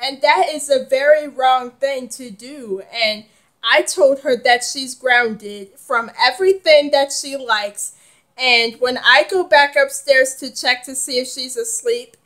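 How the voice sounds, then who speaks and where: A woman's voice: high-pitched, a bit bright. A woman playing a firm mother who lectures her children. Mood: calm. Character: stern, scolding mother.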